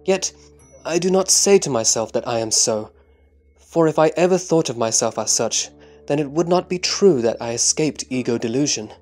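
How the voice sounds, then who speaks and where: A man playing an earnest, humble disciple in a scripture audio drama, answering in a reverent, deliberate, formal way. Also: mid-range voice, animated, a little mellow, soft background music, close to an everyday voice. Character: Subhuti, earnest humble male disciple of the Buddha.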